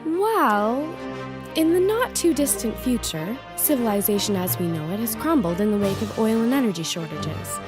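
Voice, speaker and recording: A woman playing an earnest forensics chief on the witness stand in a comedy audio drama, speaking with matter-of-fact, slightly melodramatic authority. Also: a little high.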